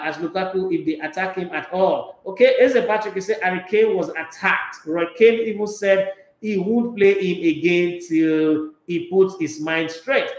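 A middle-aged Nigerian man hosting a sports radio talk show, animated and emphatic, mixing pidgin into his English. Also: high-pitched. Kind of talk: live sports talk show, reading viewer comments. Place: small radio studio. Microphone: studio microphone with headphones. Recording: echoey studio room.

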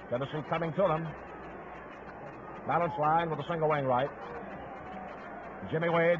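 A man calling live play-by-play football commentary on a 1950s radio broadcast. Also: fairly high pitch. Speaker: play-by-play sports announcer. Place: stadium broadcast position. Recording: noisy, muffled old 1950s radio broadcast. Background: outdoor stadium crowd noise.

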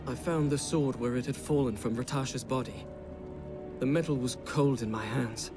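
An actor playing a brave, earnest young warrior prince in a dramatic video game. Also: male voice, medium pitch, normal speed, lightly acted. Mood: somber. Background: cinematic music.

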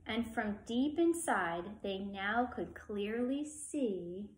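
A woman's voice: mid-range voice, expressive, slow pace, a little hiss or hum. A woman in her thirties reading a picture book aloud expressively and speaking simply and directly to young children. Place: living room, on a sofa. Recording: echoey room.

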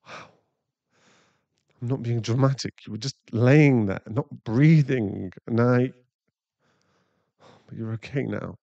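A man playing a shaken, protective werewolf boyfriend in an ASMR roleplay, halting and rambling as he soothes his partner. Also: mid-range voice, gravelly, a little mellow, no echo, highs cut off. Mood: relieved, flustered.

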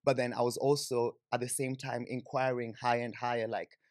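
A man's voice: medium pitch, flat delivery, brisk, a little mellow, dry recording, clean studio sound. A young man with a Kenyan accent telling personal stories conversationally and informally, with lots of "like" and "you know". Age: young adult. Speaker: podcast guest. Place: small indoor podcast studio, on a sofa. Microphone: close studio microphone on boom arm.

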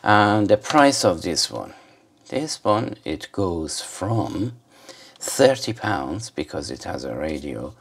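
Deep-voiced, slow-talking man. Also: sing-song, gravelly, husky. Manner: rambling, muttering to himself. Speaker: gadget reviewer. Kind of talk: casual hands-on product demonstration. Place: indoors at a desk.

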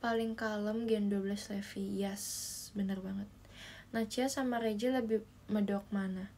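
A woman's voice: medium pitch, fairly even intonation, moderate pace, mellow, slight background noise. A young woman hosting a casual phone livestream from her bedroom, chatting with viewers, reading their comments and giggling often. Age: young adult. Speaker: idol livestream host. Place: small bedroom indoors. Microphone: phone held close in selfie framing.

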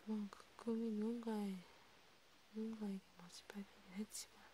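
A woman speaks in a hushed ASMR whisper, as if counseling one listener. Her voice is soft and dark, and she goes along haltingly, in casual conversation. A faint noise sits behind her. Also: medium pitch, steady tone. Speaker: ASMR creator playing a counselor. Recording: noticeable background hiss.

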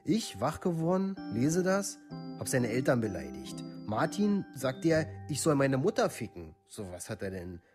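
An actor playing a troubled village youth from a far-right scene in a radio play, speaking colloquially in short clipped phrases. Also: male voice, medium pitch, very animated, normal speed, over music, lightly acted. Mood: agitated, resentful. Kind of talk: documentary radio play monologue.

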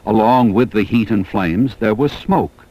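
A man reading scripted educational film narration in a measured, deliberate way. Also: on the low side, dark tone, poor audio.

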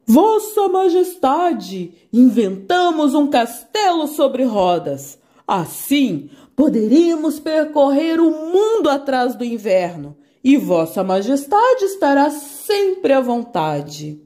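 A woman's voice: high-pitched, big pitch swings, warm tone, full character voice. A woman voicing deferential court scientists addressing their king in a children's story. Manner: slow, deferential and formal. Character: group of fawning court scientists.

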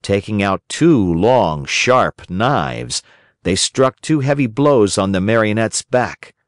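Expressive male storyteller, lively intonation. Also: fairly low pitch, slow, no room sound, clean studio sound. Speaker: audiobook narrator. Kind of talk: scripted children's story narration.

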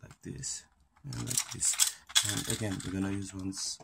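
Low gravelly guy, slow and halting, noisy camera audio. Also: fairly even intonation. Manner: unhurried, hesitant with many fillers. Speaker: fishing tackle instructor. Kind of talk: casual hands-on tutorial. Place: indoors at a table. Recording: noisy home recording.

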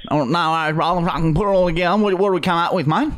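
A man's voice: on the high side, racing, a bit bright, smooth, natural voice. A man voicing a blunt, easygoing Australian bloke with a broad accent and slangy, rambling talk in a comedy prank call. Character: blunt, sweary, easygoing Aussie bloke named Darren. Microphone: close boom-arm podcast microphone.

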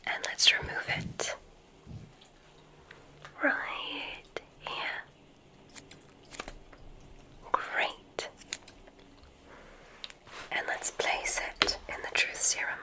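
A woman whispering softly and breathily in an ASMR roleplay as a gentle, soothing witch doctor, close to the microphone. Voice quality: breathy, mostly unvoiced whisper. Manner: soothing, gentle, unhurried reassurance. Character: kindly witch doctor giving a magic school exam.

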